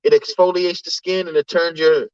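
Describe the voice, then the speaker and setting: A man's voice: fairly high pitch, fairly even intonation, moderate pace, no room sound, dull audio. A man hosting a casual livestream, reading out names from the chat and often tagging his lines with "you know what I'm saying". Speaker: livestream host.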